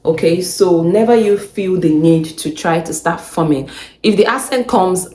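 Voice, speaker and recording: Low-voiced Nigerian woman in echoey room. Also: measured pace, mellow. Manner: chatty, laughs often, repeats herself for emphasis. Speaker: vlogger. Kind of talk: casual advice vlog.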